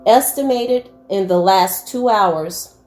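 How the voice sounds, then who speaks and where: A woman playing a solemn news announcer in a radio drama, speaking in a measured, formal way. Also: medium pitch, darkish tone, faint music behind, lightly acted. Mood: grave. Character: solemn rooftop news announcer during invasion. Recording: faintly noisy radio play ambience.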